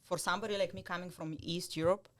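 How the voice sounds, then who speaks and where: A woman with a Bulgarian accent and a fairly low voice answers podcast questions in a blunt, direct way and laughs easily. She speaks English as a second language and sounds to be in her thirties. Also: steady tone, quick pace. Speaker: guest, loan officer. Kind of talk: casual podcast interview.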